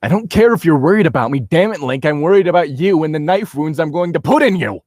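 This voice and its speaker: A man's voice: a little high, animated, quick pace, a little mellow, no room sound, studio quality. A man voicing a melodramatic, lovesick and threatening heroine in a mock reading of a fantasy story. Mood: indignant. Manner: melodramatic, mocking.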